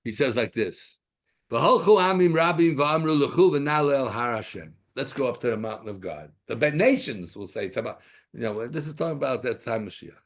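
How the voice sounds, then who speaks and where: A man's voice: mid-range voice, animated, brisk. Man teaching a digressive Torah class. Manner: digressive, rhetorical questions, mixes Hebrew terms.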